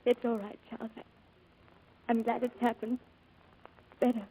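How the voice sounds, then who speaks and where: A woman playing a tender, perceptive young bride-to-be, gentle and earnest, in 1940s radio drama style. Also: a little high, little pitch movement, moderate pace, breathy edge. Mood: tender, wounded. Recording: muffled old radio recording, music behind.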